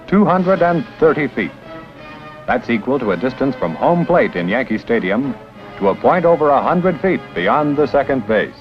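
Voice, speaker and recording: A man giving scripted, proud, declamatory narration for an old documentary film. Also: medium pitch. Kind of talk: scripted 1950s newsreel narration. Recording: dull old film soundtrack with music.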